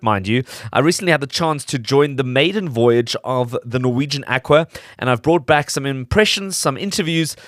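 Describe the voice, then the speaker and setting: A man's voice: mid-range voice, a bit bright, no echo. Man hosting, dry studio sound. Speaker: radio show host. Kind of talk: scripted narration to listeners.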